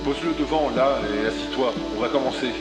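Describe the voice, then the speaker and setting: A man's voice: mid-range voice, fairly quick, lightly acted. A man playing a self-assured, controlling leader of a radical group in an audio drama, speaking with command. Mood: brisk, proud. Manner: commanding, speechifying. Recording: roomy echo, loud music underneath.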